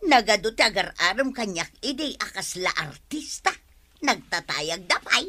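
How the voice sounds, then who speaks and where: A woman's voice: mid-range voice, expressive, normal speed, sharp tone. A woman with an Ilocano accent playing a nagging, complaining wife in a radio comedy drama.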